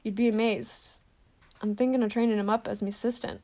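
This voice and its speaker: A woman's voice: fairly high pitch, fairly even intonation, brisk, warm tone, close to an everyday voice. A woman doing a hearty, folksy giant of a man. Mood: cheerful. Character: big hearty male groundskeeper, fond, simple.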